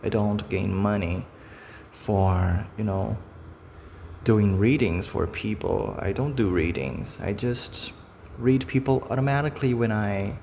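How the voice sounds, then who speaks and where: A Brazilian man with a Portuguese accent talks to camera at a slow, unhurried pace, his low voice carrying a gravelly edge. He rambles and repeats phrases, filling gaps with ums and you knows. The voice has a long reverb tail with faint noise behind it. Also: a little mellow. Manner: rambling, repeats himself, many fillers. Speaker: vlogger. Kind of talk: unscripted talk to camera.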